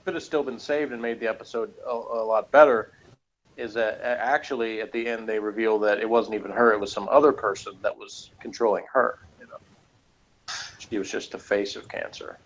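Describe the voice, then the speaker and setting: A man chatting casually as a reviewer, talking in a rambling, halting way. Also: on the low side, fairly even intonation. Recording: dull lo-fi audio.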